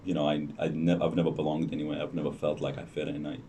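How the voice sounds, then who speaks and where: A young man, a podcast guest talking casually and hesitantly with many pauses and restarts. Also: a bass voice, level tone, warm tone. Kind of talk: casual interview chat. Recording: distant mic with faint noise.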